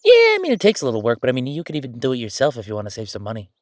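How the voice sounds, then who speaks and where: A man acts out an easygoing ghost, a handy guy in his thirties, chatting in an audio roleplay. He rambles casually and tosses in wry asides. The voice sits at a middling pitch in a very dry recording. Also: wildly swooping pitch, rapid-fire, no echo. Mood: chatty, upbeat. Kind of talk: conversational audio roleplay monologue.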